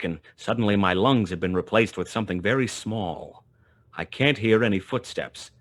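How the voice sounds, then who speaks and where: A tough, world-weary detective narrating an old-time radio play. The voice is low and sharp, wry and clipped, over faint music. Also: male voice. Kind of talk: 1920s hard-boiled radio play narration.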